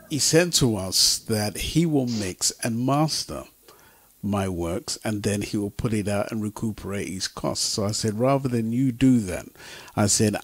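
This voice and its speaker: A man on a talk show panel, a music producer, telling a personal anecdote conversationally and a little haltingly. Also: on the low side, dry recording.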